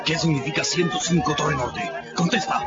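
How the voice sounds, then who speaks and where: A man's voice: on the high side, steady tone, moderate pace, a loud crowd, natural voice. An actor playing a commanding, harsh French revolutionary in a radio drama, curt and domineering as he interrogates. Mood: demanding, aggressive. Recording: dull lo-fi radio production under loud music.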